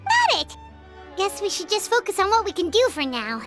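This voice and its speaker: A squeaky little sprite companion. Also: female voice, huge pitch swings, a bit bright, faint music behind, exaggerated voice. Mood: chirpy. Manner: chirpy, quick remarks.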